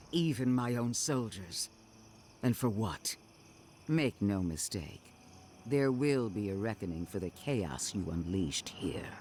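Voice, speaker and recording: A man's voice: fairly low pitch, a little hiss or hum. A man playing a stern, contemptuous military commander in a game cutscene, speaking in a formal, menacing, oratorical manner. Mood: cold anger. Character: stern, contemptuous male military commander, threatening and self-righteous. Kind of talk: dramatic game cutscene acting.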